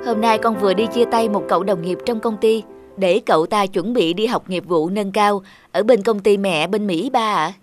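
A woman's voice: medium pitch, normal speed, natural voice. A woman voicing a self-assured young office-worker son in a cartoon drama, explaining confidently, with music behind. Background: background music.

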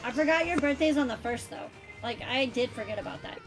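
A woman's voice: high-pitched, a little fast, over music, rough recording. A woman in her thirties chatting casually with friends while streaming a game, filling her talk with "like". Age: 30s. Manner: chatty, fills speech with "like". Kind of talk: casual chat while gaming.

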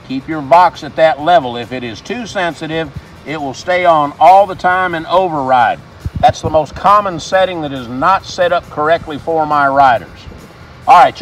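A man's voice: a little high, a bit bright. A man in his fifties presenting a plain-spoken, step-by-step how-to as a service advisor, recorded outdoors. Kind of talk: instructional how-to demonstration. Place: outdoors in a dealership parking lot.

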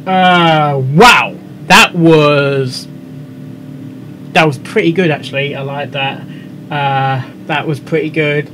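A young man with an English accent giving casual, halting, rambling reaction commentary as the host of a video. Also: fairly high pitch, a bit bright, slight background noise. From England. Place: indoor room at a computer. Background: music.

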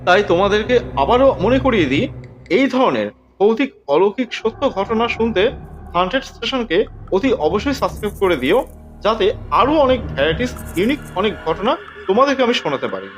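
A man narrating scripted stories in a dramatic, expressive way as a podcast host. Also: sits high, moderate pace, soft background music.

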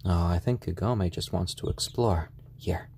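A man with a slightly hoarse voice, chatting casually and gently on a live stream from a room at home, in halting explanations and pet talk. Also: low voice. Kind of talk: casual chat on a live stream, with cooing to pets. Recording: clear background noise, bird sounds.

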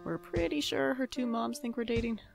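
A woman plays a young audio drama slacker with a creaky voice. The delivery is wry and self-deprecating, easily flustered, with music behind it. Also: fairly high pitch. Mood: wry, chatty. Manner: self-deprecating, dry asides, flustered when rushed. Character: wry, hapless, anxious twenty-five-year-old nonbinary slacker narrator. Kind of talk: audio drama narration and dialogue.

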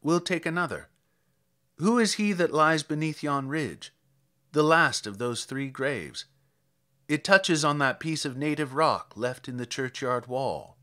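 A man's voice: on the high side, animated, a little slow, sharp tone. A man voicing a courteous, curious traveler in a dramatic verse reading, with a polite, inquiring manner. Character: courteous male stranger, a curious, questioning traveler.

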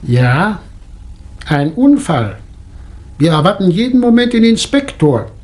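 An actor playing an inquisitive doctor and amateur sleuth in a 1960s German radio play. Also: male voice, high voice, big pitch swings, takes it slowly, darkish tone, exaggerated voice. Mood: conspiratorial, focused. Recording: old vinyl record with surface noise.

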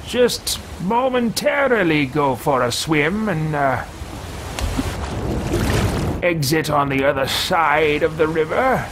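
A man's voice: a little high, animated, slow, pauses often, background noise. A man in his thirties voicing a pompous, scheming mage who mutters grandly to himself, improvising fantasy video game role-play. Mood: musing, hesitant. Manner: theatrical, grandiose, muttering with hesitations. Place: small indoor room with shelves.

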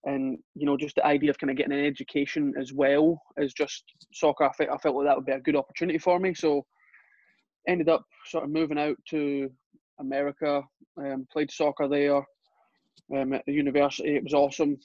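A man's voice: a little high, a little fast, dry recording. A young Scottish man, a soccer coach, chatting casually as an interview guest, with many fillers and restarts. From Scotland. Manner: relaxed, with frequent fillers and restarts. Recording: dull, lo-fi sound.

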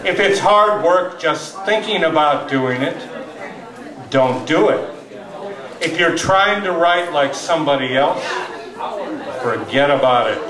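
An older man in his sixties gives a live poetry reading, with deliberate dramatic pauses and wry asides. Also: fairly high pitch, lively intonation, a crowd in the background. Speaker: poetry reader at an open mic. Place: small tavern stage indoors. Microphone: stand microphone, captured from far camera. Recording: roomy live tavern, distant mic, audience noise.

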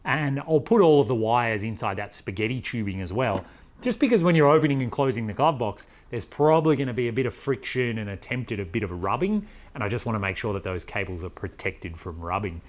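A man's voice: medium pitch, expressive, a little fast. A man in his forties talking casually to camera like a DIY vlogger, thinking aloud as he explains things in a conversational way. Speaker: vlogger presenting a DIY vehicle install.

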